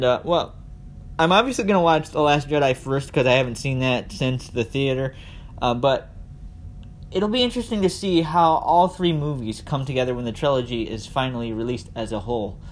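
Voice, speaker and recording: A man hosting a casual solo podcast, speaking conversationally and addressing his listeners directly. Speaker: podcast host. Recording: noisy with low background rumble.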